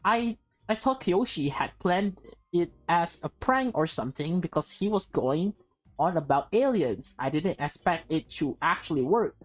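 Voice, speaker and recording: A woman's voice: fairly low pitch, animated, low-quality recording. Woman voicing nervous, apologetic young man. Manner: halting, apologetic, earnest explaining. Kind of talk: visual novel read aloud in character. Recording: game music behind the voice.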